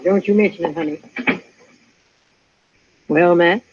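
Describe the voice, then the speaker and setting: A woman's voice: lowish voice, measured pace, breathy edge. A woman playing a brassy, shrewd saloon madam in a radio western, talking in an earthy, teasing way. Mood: familiar, wry. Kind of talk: 1950s radio western acting. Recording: muffled old-time radio recording.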